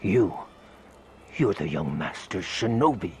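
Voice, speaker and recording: An actor playing a bitter, vengeful wounded guard in a video game, speaking in a strained, accusing way. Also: male voice, low voice, big pitch swings, unhurried, a little mellow, slight background noise, exaggerated voice. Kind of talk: dramatic game cutscene acting.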